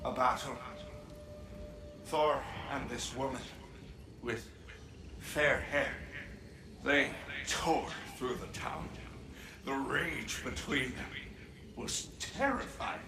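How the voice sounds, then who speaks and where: An actor playing an earnest, lovelorn male spirit in a video game, telling things in halting, dramatic, fervent phrases. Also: medium pitch, lively intonation. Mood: awed, intense. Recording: echoing voice with music behind.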